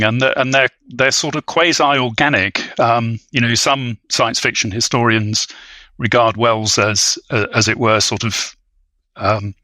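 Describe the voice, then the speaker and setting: A man speaking as an academic guest, discussing literature in halting, erudite phrases with repeated words. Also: mid-range voice, sharp tone, no echo, rough recording. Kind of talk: scholarly interview discussion.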